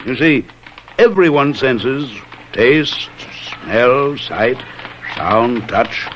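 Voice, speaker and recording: An urbane detective, swooping voice. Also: male voice, medium pitch, expressive, fairly bright tone, a little hiss or hum. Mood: musing, teasing. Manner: musing, hesitant pauses, condescendingly courteous. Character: urbane, shrewd male detective, teasing and self-assured. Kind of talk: old radio mystery drama acting.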